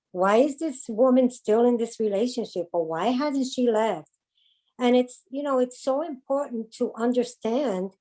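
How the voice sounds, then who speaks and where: A woman from the South Bronx with a light Spanish-tinged New York accent, talking in a mellow, warm tone. She speaks earnestly and haltingly, often pausing on ums and repeating herself as she searches for words. Spanish is her first language. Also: mid-range voice. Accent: Spanish-influenced New York. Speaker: domestic violence advocate, guest. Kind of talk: interview conversation.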